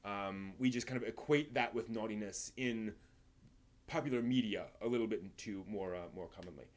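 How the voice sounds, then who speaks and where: A man with an American accent hosting a casual live stream, answering viewer questions in a halting, rambling, think-aloud way. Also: fairly low pitch. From East Coast of the United States.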